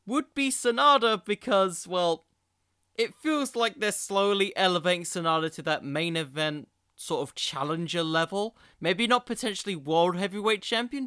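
A man hosting a solo podcast, giving conversational commentary in a musing, halting way with emphatic bursts. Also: high-pitched, bright, forceful tone, smooth, no echo, clean studio sound. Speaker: podcast host.

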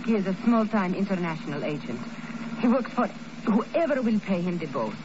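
A woman's voice: mid-range voice, very animated, normal speed, lightly acted. An actress playing an earnest, anxious female ally of a secret agent in 1950s radio drama, with careful, formal phrasing. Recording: muffled, noisy old radio recording.

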